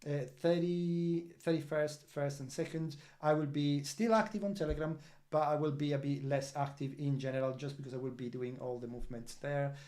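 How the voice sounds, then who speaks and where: Halting non-native English-speaking man. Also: fairly high pitch, steady tone, darkish tone. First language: not English. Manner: halting, with frequent fillers and restarts. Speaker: crypto project team member hosting an update. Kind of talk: livestream project update answering viewer comments.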